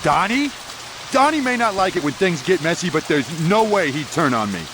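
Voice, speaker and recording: An actor playing a tough, protective street gang leader in a video game, blunt and streetwise. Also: male voice, high voice, very animated, normal speed, background noise. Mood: angry. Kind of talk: video game cutscene acting.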